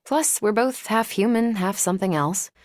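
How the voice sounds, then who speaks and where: A woman's voice: medium pitch, moderate pace, dry recording, clean studio sound, lightly acted. A woman voicing a confident, wry, friendly superhero in a video game, with a breezy, teasing, dryly self-assured delivery. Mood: relaxed, wry. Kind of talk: video game voice acting, conversational.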